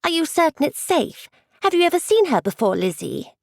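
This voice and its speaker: A woman's voice: high-pitched, big pitch swings, a little fast, creaky, darkish tone, no echo, clean studio sound, heavily acted. A fretful young girl. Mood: doubtful. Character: anxious teenage girl, nervous and doubtful. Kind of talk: audiobook dialogue reading.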